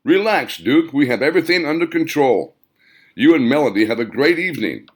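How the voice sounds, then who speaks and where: A man's voice: fairly high pitch, expressive, fairly quick, breathy edge, voice pushed up. A man voicing a friendly, reassuring male plant manager in an audiobook, speaking emphatically. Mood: upbeat, reassuring.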